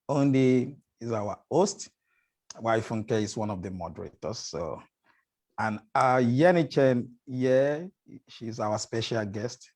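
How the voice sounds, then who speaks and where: A man's voice: medium pitch, darkish tone. A man co-hosting a casual online panel chat, often breaking into laughs as he explains greetings. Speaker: show founder and co-host.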